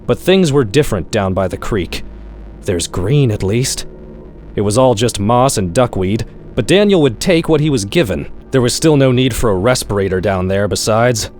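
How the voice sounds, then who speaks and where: A man's voice: mid-range voice, lively intonation, normal speed, darkish tone, slight background noise. A man reading a scripted short story in a wry, sardonic storytelling manner, like a horror-comedy audiobook. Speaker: author-narrator reading own short story.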